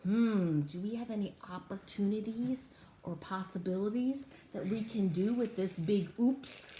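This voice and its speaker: A woman teaching and reading a picture book aloud to young children, interactively, in an exaggerated, expressive way with drawn-out words. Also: on the high side. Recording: echoey room with faint background noise.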